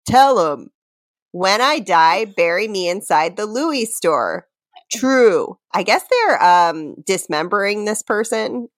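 A woman's voice: mid-range voice, expressive, dry recording, clean studio sound. A woman co-hosting a comedy podcast, giving mock dramatic readings and casual banter in a theatrical, giggle-prone way. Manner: theatrical, deliberate delivery of silly lines, prone to giggling. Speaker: podcast co-host reading song lyrics.

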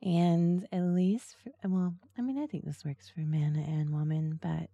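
A woman giving tips on making erotic ASMR audio in a slow, soft, sultry whisper. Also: fairly low pitch, steady tone, breathy edge, no echo, highs cut off. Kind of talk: whispered ASMR talk about voice technique.

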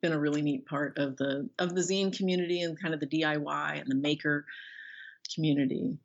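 A woman's voice: low voice, fairly even intonation, brisk, muffled recording. A middle-aged woman speaking casually as an author being interviewed, rambling and restarting her sentences as she goes.

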